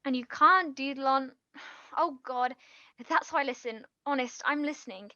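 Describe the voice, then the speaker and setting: A woman acting a nervous, eager aspiring writer in a naturalistic stage play, talking in a flustered, halting, rambling way. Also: high-pitched, sharp tone, no echo, muffled recording. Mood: rambling, awkward. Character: nervous, eager female aspiring writer hiding her past.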